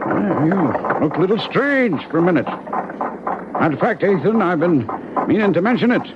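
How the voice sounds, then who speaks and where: High-voiced genial doctor, lively and folksy. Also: male voice, moderate pace. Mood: gently concerned. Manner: chatty, folksy, chuckling familiarity. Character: genial, weary doctor, old friend, gently concerned. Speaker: actor in a radio mystery. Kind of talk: 1970s radio drama acting. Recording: dull, very noisy vintage radio recording.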